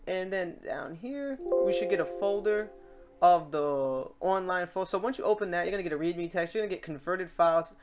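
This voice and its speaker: Screencast tutorial host: medium pitch, casual and chatty, informal step-by-step instruction. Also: a little fast, darkish tone, faint music behind.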